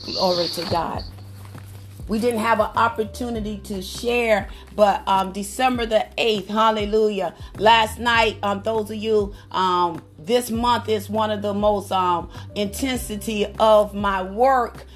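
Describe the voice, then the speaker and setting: A woman minister preaching fervently on a prayer call, with a slightly raspy voice and frequent bursts of praise. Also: mid-range voice, fairly even intonation, a little slow, faint music behind. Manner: fervent, repeats praise phrases.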